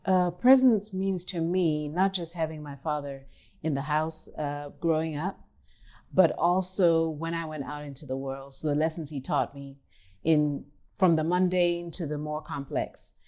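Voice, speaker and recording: A woman from Kenya in her fifties, an artist who speaks thoughtfully in an interview, pausing and rephrasing as she goes. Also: deep voice, warm tone. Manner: thoughtful, measured, with pauses and restarts. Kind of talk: reflective interview talk.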